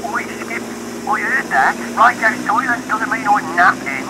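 A man's voice: high-pitched, expressive, a little slow, noisy background. A man playing a dry, clipped RAF serviceman in a wartime radio drama. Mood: indignant. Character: male WWII RAF airmen and control, terse, dry. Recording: loud aircraft engine drone behind.

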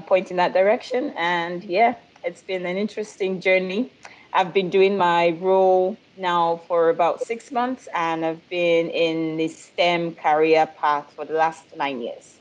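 A woman's voice: lowish voice, poor audio. A woman from Nigeria, a production specialist, talking in a friendly way and asking the others questions on a video call. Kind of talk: casual video-call chat.

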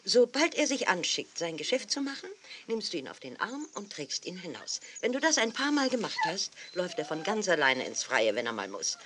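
A woman's voice: on the low side, sing-song, a little fast, fairly bright tone, slight background noise. An actress playing a kindly, instructive woman dog breeder in a 1980s children's audio play, explaining things expressively.

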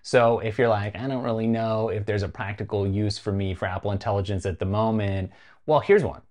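A man's voice: on the low side, fairly quick, a little mellow. A man in his forties with a North American accent, hosting a conversational tech explainer and casually stressing key phrases. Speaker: tech video host. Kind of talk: explainer vlog to camera, conversational. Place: small home studio room, indoors.

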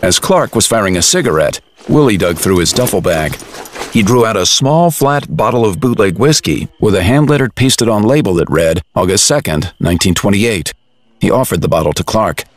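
A man's voice: lowish voice, lively intonation, moderate pace. A man reading scripted narration for a dramatized audiobook, as storyteller.

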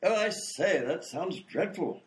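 A man playing a mild, fussy, easily flustered gentleman doctor and inventor, in a radio comedy. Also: high-pitched, lively intonation, measured pace. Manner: polite, fussy, flustered, old-fashioned exclamations. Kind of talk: old-time radio comedy acting.

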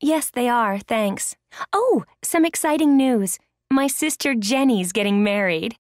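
A woman's voice: fairly high pitch, lively intonation, unhurried, dry recording, clean studio sound. An actress playing a cheerful, chatty woman in a scripted language-course dialogue. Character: cheerful, chatty woman sharing news with friends.

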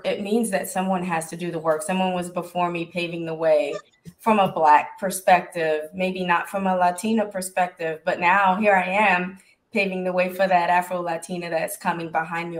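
A woman's voice: on the low side, steady tone. Earnest woman speaking on a video call. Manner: earnest, measured, advocating. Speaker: tech business owner and panelist. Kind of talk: panel discussion over video call.

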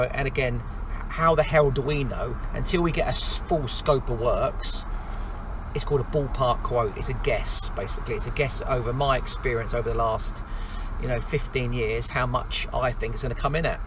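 A man's voice: medium pitch, steady tone, normal speed. A man, a builder talking casually through his thoughts in a car vlog. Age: 50s. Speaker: construction company owner vlogging. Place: inside a car in traffic. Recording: clear car and road noise.

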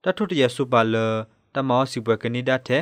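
A man's voice: moderate pace, no room sound. Male radio news reader, dry sound. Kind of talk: scripted radio news reading.

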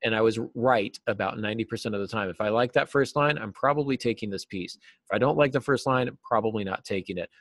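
A man's voice: lowish voice, quick pace, fairly bright tone, dry recording. A middle-aged man giving conversational answers as a guest writing teacher in an interview, speaking over a video call from an office. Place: small office, indoors.

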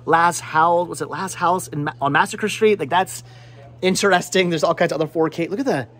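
A man's voice: a little high, fairly quick, fairly bright tone. A man in his forties chatting casually and playfully to a handheld camera in a shop, often interrupting himself as he rambles. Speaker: vlogger and movie collector. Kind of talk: casual chatty shopping vlog. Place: indoor video and game shop. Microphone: handheld camera at arm's length.

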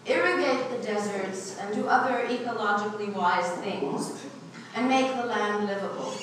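A woman in her sixties from Israel, giving an earnest, measured talk from a podium in a university lecture hall. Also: mid-range voice, background noise. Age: 60s. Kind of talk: prepared public talk. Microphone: podium, filmed from a distance. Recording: echoey lecture hall from far off.

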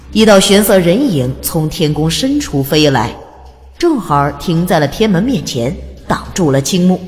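Low-voiced woman narrating fantasy, very expressive. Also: long reverb tail. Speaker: audiobook narrator. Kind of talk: dramatic scripted fantasy narration.

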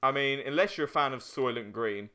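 A man's voice: medium pitch, a little fast, sharp tone. A young man doing casual let's play commentary with joking, sweary banter.

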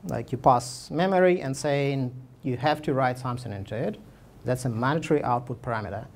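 A man's voice: mid-range voice, brisk. A man in his thirties giving a conference talk with slides, speaking casually with many fillers and restarts. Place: meetup room, indoors.

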